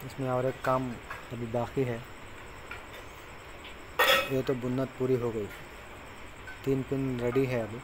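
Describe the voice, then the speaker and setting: Man, mid-pitched voice, casual fishing how-to, loud cricket chirps behind, phone mic. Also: level tone, takes it slowly, long pauses. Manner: plain instructive, talks while tying knots. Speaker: fishing tutorial maker. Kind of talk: casual step-by-step demonstration. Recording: clear background insect noise.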